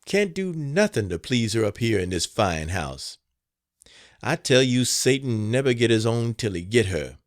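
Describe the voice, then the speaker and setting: A man's voice: medium pitch, sing-song, unhurried, no echo. A weary old cook, long-suffering and muttering her complaints, as a male narrator voices her. Her voice rises and falls freely, heard close and dry. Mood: exasperated. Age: older.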